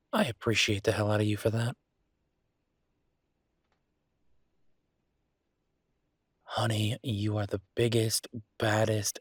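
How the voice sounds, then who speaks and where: A man's voice: lowish voice, no echo, clean studio sound, lightly acted. A man playing a frustrated, affectionate restaurateur boyfriend in an intimate roleplay audio drama, talking conversationally and hesitantly to his partner. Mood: fond, teasing. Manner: conversational, hesitant, exasperated but fond.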